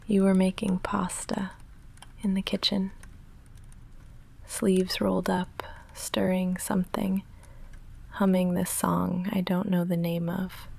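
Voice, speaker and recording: Hushed, creaky goth vampire woman, acted out by a woman. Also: medium pitch, slight background noise. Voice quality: breathy, often whispering, creaky. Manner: halting, hushed, confessional with dry deadpan asides. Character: female goth vampire roommate, lovestruck, restrained, nervously intense. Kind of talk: intimate ASMR roleplay addressed to the listener.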